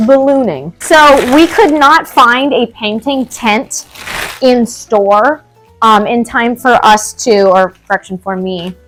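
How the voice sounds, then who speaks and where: A woman's voice: a little high, slow pace, fairly bright tone, faint music behind, low-quality recording. Young female DIY vlogger, filler-heavy casual chatter, dry cough, recorded at home. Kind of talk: casual chat and talk-through of a DIY task. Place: home indoors.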